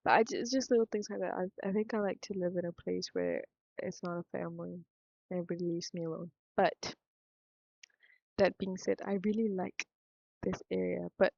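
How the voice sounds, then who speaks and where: A woman's voice: on the low side, gravelly, a little mellow, no room sound, lo-fi sound. A young woman from Singapore with a Singaporean accent, thinking aloud in a rambling, frank vlog monologue. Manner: meandering, thinks aloud, self-interrupting, frank. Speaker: vlogger.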